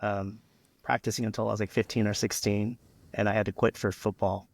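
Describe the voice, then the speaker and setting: A man's voice: lowish voice, flat delivery, brisk, creaky, no echo. A man in his forties, a podcast guest, talking casually and hesitantly with many ums and restarts. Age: 40s. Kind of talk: casual interview chat.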